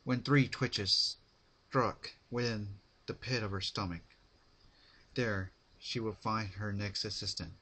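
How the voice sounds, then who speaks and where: A man giving a dramatic scripted story narration, phrased deliberately in short, halting chunks. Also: on the low side, fairly even intonation, bright, forceful tone, breathy edge.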